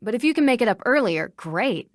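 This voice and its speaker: Curious polite young detective woman, game dialogue, highish voice, dry clean sound. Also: big pitch swings, fairly quick, no echo. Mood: friendly. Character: polite, inquisitive young female private detective. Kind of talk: video game voice acting.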